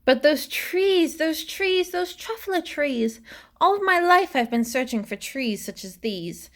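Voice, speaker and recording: A woman reading a rhyming children's story aloud with expressive, dramatic phrasing. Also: sits high, a little slow. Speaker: storybook reader.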